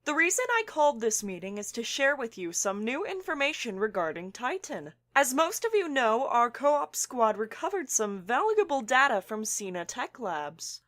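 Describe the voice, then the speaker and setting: Woman as a giddy, animated young scientist; bright, high, swooping delivery. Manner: animated, bursts into giddy laughter. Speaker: scientist character. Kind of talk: fan audio drama acting.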